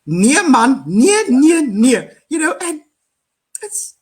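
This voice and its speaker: A woman's voice: high-pitched, big pitch swings, slow, a little mellow, slightly rough. A woman with a Cape Town South African accent, chatting animatedly on a video call and laughing often. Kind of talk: casual conversation.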